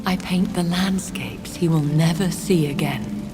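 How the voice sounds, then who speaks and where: A woman acting a refined, sorrowful vampire noblewoman in a video game, speaking in a formal, solemn, measured way. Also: on the low side, natural voice, low-quality recording. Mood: grave devotion. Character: refined, sorrowful, devoted female vampire noblewoman of great age. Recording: music and rain behind the voice.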